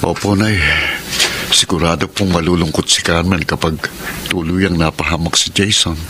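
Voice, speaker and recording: An actor with a Filipino accent playing a gentle, heartbroken, self-sacrificing young man in a sentimental radio drama, speaking in a sorrowful, measured way. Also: sits low, fairly even intonation, noisy background, close to an everyday voice. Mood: gentle, resigned. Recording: loud music under the voice.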